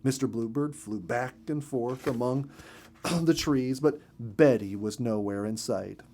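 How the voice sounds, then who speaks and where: A man reading a children's story as narrator in an expressive, gentle storytelling manner. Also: mid-range voice, measured pace, slight background noise.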